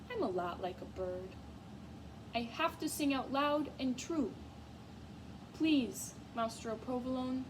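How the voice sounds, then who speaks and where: A woman's voice: sits high, pitched above the performer's own voice. A woman voicing a spirited, stubborn young girl mouse in a storybook, earnest and emphatic. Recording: clear background noise.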